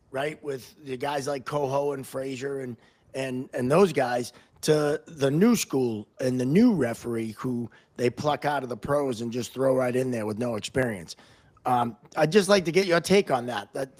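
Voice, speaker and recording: Male host, average pitch, informal interview chat for a podcast.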